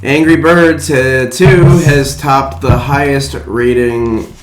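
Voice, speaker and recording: A man co-hosting a casual movie news podcast, speaking haltingly and often trailing off. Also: medium pitch, steady tone. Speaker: podcast co-host. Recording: echoey room with music bed.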